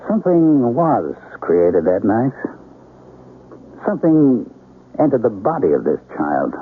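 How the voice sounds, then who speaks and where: An actor playing an eerily confident young father, calm and deliberate, in old-time radio drama style. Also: mid-range voice. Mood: rapt conviction. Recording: vintage radio broadcast, narrow phone-like sound, faint noise.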